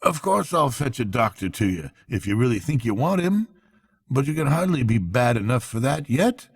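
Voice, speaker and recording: A man voicing a hearty, brisk storybook rat who is kindly, blunt and earnest. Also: medium pitch, very animated, gravelly, husky, pitched above the performer's own voice, heavily acted. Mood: alarmed, reassuring. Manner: brisk, exclamatory, blunt but fond.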